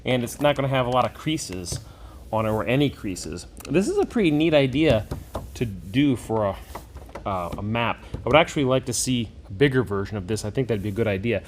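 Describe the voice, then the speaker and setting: A man's voice: mid-range voice, expressive, a little fast, a little hiss or hum. A man hosting a casual hands-on tabletop gaming product review, talking conversationally and thinking aloud as he goes.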